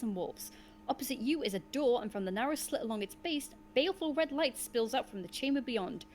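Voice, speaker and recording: A woman with a fairly high voice hosts a gamebook session for friends. She reads the story aloud with drama and slips into casual, informal asides between passages. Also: slight background noise. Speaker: game reader and host.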